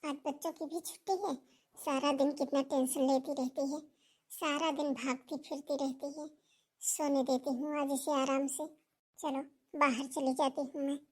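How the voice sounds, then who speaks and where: A woman's voice: sits high, little pitch movement, moderate pace, darkish tone, natural voice. A woman playing a scheming, scornful older mother who scolds and taunts in a Hindi cartoon moral story. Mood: bitter, plotting.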